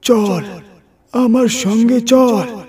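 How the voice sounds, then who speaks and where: High, dragging ghostly spirit. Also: male voice, darkish tone, far from the mic, heavily acted. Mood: eerie beckoning. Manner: slow, drawn-out, beckoning taunts. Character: eerie ghostly spirit luring its victim, slow and menacing.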